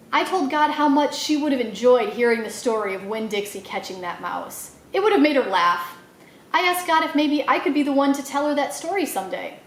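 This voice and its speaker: Female storyteller, high lively voice, expressive children's read-aloud narration, roomy echoey sound. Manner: expressive, acts out dialogue. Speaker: library storyteller reading a children's novel aloud.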